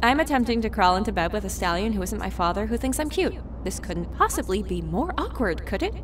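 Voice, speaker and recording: A woman's voice: mid-range voice, lively intonation, brisk, faint music behind, close to an everyday voice. A woman playing a stubborn, flustered young adult pony mare in an audio drama, speaking in an earnest, emphatic way. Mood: flustered, awkward.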